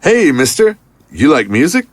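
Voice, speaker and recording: Man playing a young street hawker, breezy, higher male voice, bouncy intonation, audio drama. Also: very animated, unhurried. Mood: breezy, offhand.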